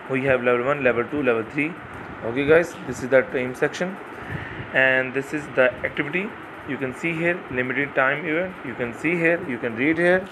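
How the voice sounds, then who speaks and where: A man narrating a screen-recorded tutorial, talking haltingly and repeating "you can see here". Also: mid-range voice, background noise. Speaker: crypto site walkthrough narrator.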